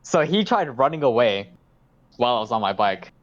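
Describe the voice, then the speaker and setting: A man's voice: medium pitch, very animated, normal speed, muffled recording. A man, telling stories in a casual podcast chat, swearing freely and rambling with lots of fillers. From South Auckland, New Zealand. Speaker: podcast co-host.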